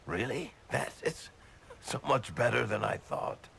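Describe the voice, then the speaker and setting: An actor playing a middle-aged roguish dwarf in a video game, wry and offhand with a gravelly voice. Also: male voice, mid-range voice, animated, a little slow, fairly bright tone, slight background noise, exaggerated voice. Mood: playful. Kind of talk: video game dialogue acting.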